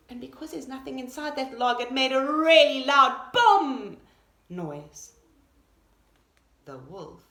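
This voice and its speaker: A woman teacher reading a children's story expressively, pausing to explain things to young listeners. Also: high-pitched. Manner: animated, explanatory. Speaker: pre-primary teacher, storyteller. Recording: roomy echo.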